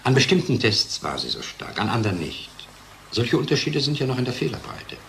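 An actor playing a defensive, downcast middle-aged research scientist in a radio play, talking in a hesitant, dry way. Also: male voice, medium pitch, sing-song, fairly quick, vocal fry, fairly bright tone, a little hiss or hum.

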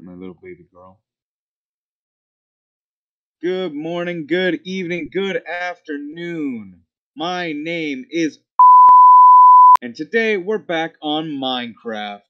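A man's voice: fairly high pitch, a bit bright. A smarmy, cooing man talking and presenting casually over a lo-fi voice call. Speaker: caller. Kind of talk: casual call talk and vlog-style presenting. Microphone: voice chat call. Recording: dull lo-fi voice call.

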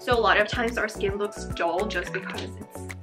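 A young woman talking casually to camera in her bedroom while doing her skincare, chatty and bubbly, her voice slightly hoarse. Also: medium pitch, normal speed. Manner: chatty and bubbly, repeats words. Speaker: beauty vlogger and teacher. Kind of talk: casual get-ready-with-me chat. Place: bedroom with dresser and fairy lights. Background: background music.

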